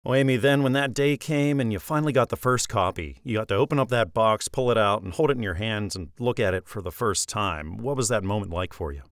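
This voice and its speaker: A man's voice: on the low side, brisk, hardly any pauses, no room sound, studio quality. A man hosting an author podcast, with a polished radio-style delivery. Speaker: podcast host. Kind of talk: interview host, scripted intro.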